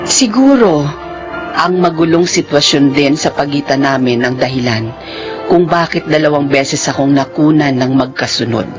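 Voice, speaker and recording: Give me a selfless, sorrowful middle-aged wife telling her story over radio drama music. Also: low voice. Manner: sorrowful and reflective. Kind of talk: first-person radio drama narration.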